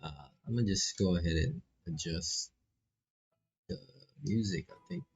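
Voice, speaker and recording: A man's voice: deep voice, very animated, normal speed, creaky, breathy edge, soft background music. A man doing laid-back, casual live commentary on a visual novel, reading text aloud and swearing freely as he reacts.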